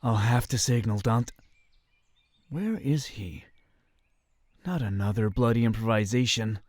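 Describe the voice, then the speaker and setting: An actor playing a disciplined, dry-witted young crusader in a medieval fantasy audio drama, speaking in measured, formal phrases. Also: male voice, medium pitch, a little mellow, close to an everyday voice. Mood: tense self-doubt. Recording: faint background noise.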